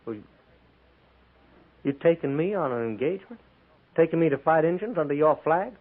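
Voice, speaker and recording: A man's voice: on the high side, animated, moderate pace. An American man playing a bitter, defiant Confederate prisoner turned Union soldier in a 1950s radio drama, speaking sullenly in blunt, folksy frontier grammar. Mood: challenging. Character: bitter, defiant captured Confederate cavalryman pressed into Union service. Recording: tinny, band-limited 1950s radio recording.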